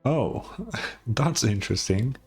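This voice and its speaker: A man's voice: medium pitch, animated, slow pace, breathy edge, faint music behind. A young man giving casual, blunt and sarcastic reaction commentary as a game streamer, swearing freely.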